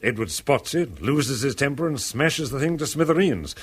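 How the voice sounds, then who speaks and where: A man's voice: mid-range voice, animated, normal speed, slightly rough. An actor playing a flippant, cynical upper-class man in a 1970s radio drama, breezy, sardonic and callously offhand. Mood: blithe.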